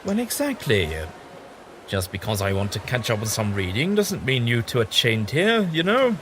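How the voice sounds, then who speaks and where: Male actor as eccentric bookish time traveller, amiable, whimsical, swooping intonation, mid-pitched, faint background noise. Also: big pitch swings, moderate pace, fairly bright tone. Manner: amiable, whimsical, slightly absent-minded. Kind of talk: audio drama acting.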